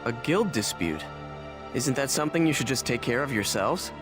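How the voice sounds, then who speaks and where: A man's voice: medium pitch, lively intonation, normal speed, close to an everyday voice. A man voicing a brusque young game hero. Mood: curt. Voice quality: curt, blunt. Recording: game cutscene with music underneath.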